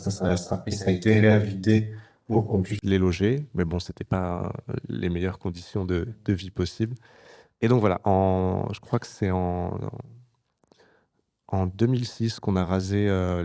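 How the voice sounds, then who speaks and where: Director, man, low gravelly voice, hesitant and full of pauses, dark tone. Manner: hesitant, many filler pauses. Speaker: film director. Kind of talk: interview answers.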